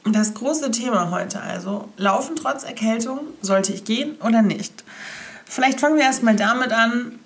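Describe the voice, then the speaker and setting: A woman's voice: on the high side, moderate pace. A young woman hosting a casual solo podcast, stuffy-sounding from a cold, cheerful and chatty as she talks straight to the listener. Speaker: podcast host.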